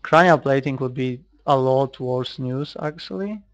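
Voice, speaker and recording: Polish guy talking thru his card game, lots of vocal fry, kinda halting, dull close mic recording. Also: mid-range voice, no echo. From Poland. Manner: hesitant, thinking aloud. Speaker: online card game player recording gameplay. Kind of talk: casual live gameplay commentary.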